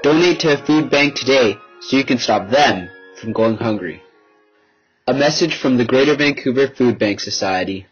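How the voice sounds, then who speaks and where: A man's voice: mid-range voice, expressive, moderate pace. A man reading a scripted charity appeal as an announcer. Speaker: advert announcer. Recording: dull sound with music behind. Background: music.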